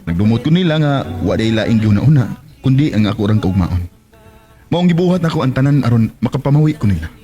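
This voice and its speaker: A man's voice: medium pitch, expressive, fast, dark tone, dull audio, faint music behind, close to an everyday voice. A man with a Visayan Filipino accent playing a bitter, emotional young son in a radio drama. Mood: reflective. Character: bitter, emotional young adult son, rebellious yet loving. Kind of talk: Bisaya radio drama acting.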